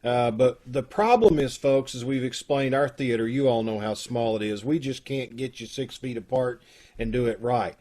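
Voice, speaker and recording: A man's voice: medium pitch, normal speed, a little mellow. Folksy man hosting a video call. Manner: folksy and rambling, with many fillers. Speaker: host of a community theater troupe. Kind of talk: casual live video-call chat. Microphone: video call from home.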